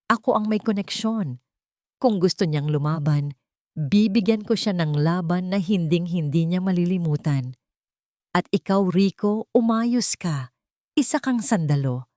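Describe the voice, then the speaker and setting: A scornful, wealthy older matriarch played by a woman narrator in a dramatic audio story. She speaks in commanding, threatening phrases, in a lowish voice with a very dry, close sound. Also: animated, a little slow, a little mellow, no echo. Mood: defiant, threatening.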